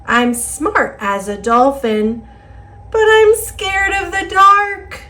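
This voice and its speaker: A woman's voice: very high-pitched, fairly even intonation, slow pace, sharp tone, pitched above the performer's own voice, heavily acted. A woman voicing an earnest, anxious male fish from a picture book in emphatic, singsong rhyme. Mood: boastful, scared. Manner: emphatic, singsong rhyming declarations. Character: earnest, anxious male cartoon fish, brave but afraid.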